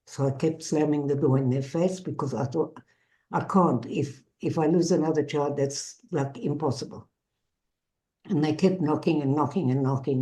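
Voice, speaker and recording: An older woman with a South African accent gives a measured, earnest personal testimony as a bereaved mother and peace activist. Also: deep voice, mellow, low-quality recording. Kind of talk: personal testimony to an online audience.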